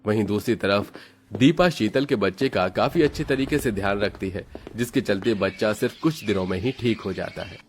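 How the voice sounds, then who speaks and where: A man with a low voice narrates a children's moral tale in a scripted storytelling style. There is faint noise with some clicks in the recording. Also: moderate pace.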